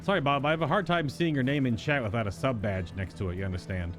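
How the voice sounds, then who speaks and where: A man's voice: medium pitch, fast. A man giving wry, rambling live reaction commentary as he plays a game. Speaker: game streamer. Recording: music behind the voice. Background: game music.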